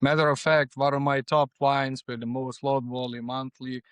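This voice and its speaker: A man's voice: mid-range voice, completely flat delivery, moderate pace, clean tone, no echo. A man from Serbia with a Serbian accent, speaking English as a second language, answering conversationally as a podcast guest. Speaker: podcast guest, freight agent.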